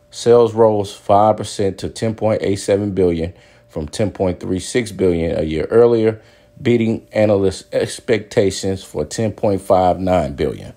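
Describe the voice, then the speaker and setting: A man's voice: deep voice, warm tone. A man presenting company earnings news, commenting informally and reading financial reports aloud.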